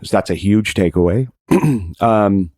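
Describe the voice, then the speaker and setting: A man's voice: on the low side, slow, a little mellow, no room sound, studio quality. A man talking as a podcast guest, casual, earnest and emphatic, repeating himself to stress his point.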